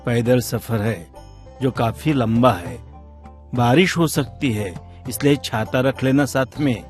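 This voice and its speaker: A man playing a troubled young village husband in a Hindi animated moral story. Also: medium pitch, takes it slowly, close to an everyday voice. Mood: matter-of-fact. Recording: music bed under the voice.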